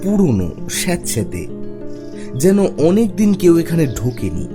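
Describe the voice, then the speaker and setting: A man playing a young office worker, narrating his own eerie story in an expressive storytelling manner. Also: fairly high pitch, a little slow. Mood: uneasy storytelling. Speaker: narrator and lead character. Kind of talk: dramatic first-person horror story narration. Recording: music behind the voice.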